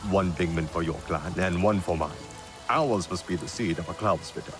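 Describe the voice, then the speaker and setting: Hearty, deep-voiced alien clansman acted by a man, music behind. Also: normal speed, lightly acted. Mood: grand, friendly. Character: hearty, grandiose alien clan man, celebratory. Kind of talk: video game voice acting.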